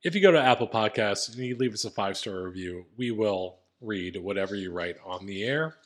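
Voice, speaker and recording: A man's voice: lowish voice, animated, a little fast. A man co-hosting a podcast in casual, joking banter that can turn profane. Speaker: podcast co-host.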